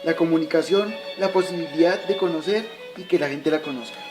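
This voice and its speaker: A man's voice: fairly high pitch, a little fast, music in the background, natural voice. A man playing a fatherly medieval teacher, explaining in a scripted way in an amateur educational radio play. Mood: instructive.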